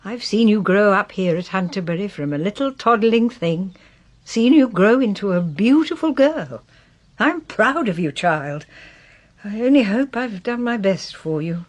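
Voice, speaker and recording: An actress playing a proud, wistful English widow in her sixties who is ailing, in a solemn, measured radio drama style. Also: medium pitch, animated. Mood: affectionate, earnest. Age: 60s.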